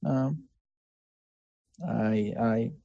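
A man with a Mexican accent and Spanish as his first language, answering casually in an interview in an earnest, halting way, often checking for agreement. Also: mid-range voice, vocal fry, dark tone, no room sound, muffled recording. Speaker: interviewee, game developer.

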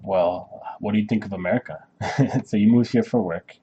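A man's voice: lowish voice, normal speed, warm tone, dull audio, a little hiss or hum. A man interviewing casually, hesitant and rephrasing his questions as he goes.

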